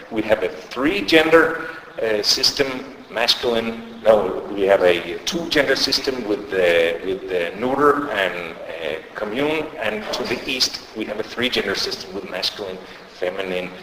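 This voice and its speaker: A man's voice: on the low side, animated, unhurried, a bit bright, slight background noise. A middle-aged man with a Danish accent, a sociolinguist giving a conference lecture with many hesitations and restarts. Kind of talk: academic conference talk. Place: lecture hall. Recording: echoey lecture hall, faint ambience.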